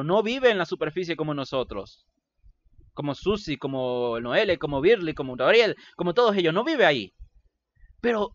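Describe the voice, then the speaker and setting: A man's voice: high-pitched, a bit bright, no echo, lo-fi sound. A man giving emphatic commentary to viewers during a gameplay video, repeating his questions with mild swearing. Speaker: gameplay narrator and fandub host.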